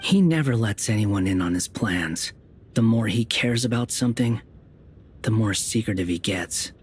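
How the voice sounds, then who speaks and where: A man's voice: on the low side, normal speed, slightly rough. A man playing an aloof, sardonic young wanderer in a video game, speaking in a cool, dry, curt way. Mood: cool, skeptical.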